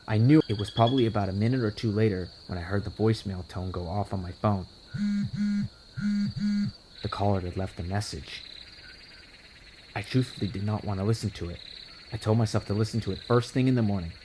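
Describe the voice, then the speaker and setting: A man narrating a first-person horror story in a casual, expressive, conversational way. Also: on the low side, noisy background. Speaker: creepypasta narrator. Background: music under the voice.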